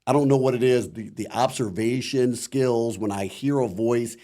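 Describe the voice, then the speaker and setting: Man, middle-aged, Midwestern accent, deep, warm dark tone, reflective casual interview talk. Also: normal speed. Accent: Midwestern American. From Akron, Ohio, USA. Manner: rambling, many "you know" fillers, reflective. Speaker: voice actor guest.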